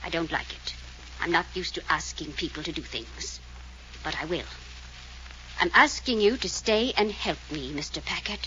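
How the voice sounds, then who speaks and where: A woman's voice: lowish voice, lively intonation, moderate pace. An actress playing a haughty, commanding old grandmother of a rich family in an old radio drama. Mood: grudging. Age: older. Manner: commanding, haughty, sharp-tongued. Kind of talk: 1940s radio drama acting. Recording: dull old radio recording, faint noise.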